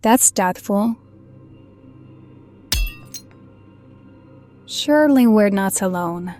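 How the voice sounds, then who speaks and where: A woman's voice: medium pitch, expressive, measured pace, darkish tone, soft background music, lightly acted. An actress playing a sardonic yet soothing woman survivor in an audio drama, cool, teasing and matter-of-fact. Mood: skeptical.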